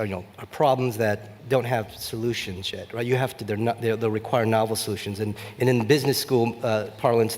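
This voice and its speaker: A man's voice: medium pitch, a little mellow. A man moderating a panel discussion, circling around his questions with many fillers and restarts. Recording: long reverb of a conference hall.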